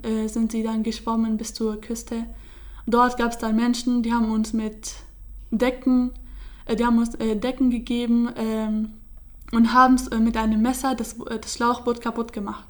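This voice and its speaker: Young woman, flat hesitant telling. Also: fairly high pitch. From Syria. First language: Kurdish. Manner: hesitant, many fillers and restarts. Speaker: interviewee. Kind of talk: casual personal account.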